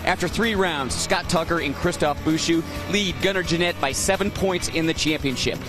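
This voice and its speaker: Male pit reporter, slightly high, brisk factual preview, music bed. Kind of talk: scripted race preview.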